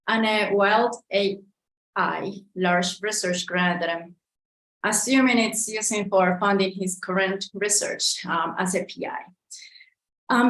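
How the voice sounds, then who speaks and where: A woman hosting an online seminar, introducing the speaker in a friendly, hesitant way with frequent ums. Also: medium pitch. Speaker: seminar host. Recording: echoey room with faint noise.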